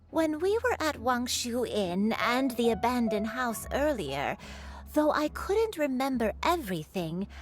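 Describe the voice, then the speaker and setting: Frail, halting old lady. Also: high-pitched, full character voice. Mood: puzzled. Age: older. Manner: halting, wistful, polite. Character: frail, forgetful, sorrowful old woman, gentle and self-effacing. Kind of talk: video game voice acting.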